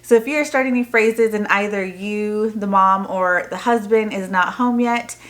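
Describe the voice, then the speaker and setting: A woman's voice: medium pitch, measured pace. A woman with an American accent talking casually to camera as a homeschooling mother, chatty and explanatory, rambling a little. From Texas, United States. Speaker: homeschooling mother and video host. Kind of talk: casual vlog product talk to camera.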